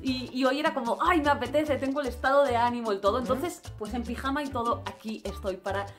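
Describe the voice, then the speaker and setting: A young woman from Spain with a Spanish accent chats to the camera in a small indoor room. She talks in an animated, expressive way, with a fairly high voice, and faint music plays underneath. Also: fast talker. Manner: animated, expressive, gestures a lot. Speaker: travel vlogger. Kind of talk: casual vlog monologue.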